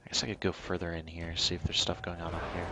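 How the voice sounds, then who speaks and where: A man giving casual, low-key livestream commentary on a game, musing aloud in a hesitant way. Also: level tone, fast talker, background noise. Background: game music.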